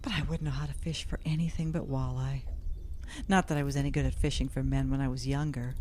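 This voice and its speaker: A woman playing a rueful, excitable middle-aged woman in an audio drama, plain-spoken and self-deprecating. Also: extremely low pitch, quick pace, slight background noise. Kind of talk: naturalistic audio drama acting.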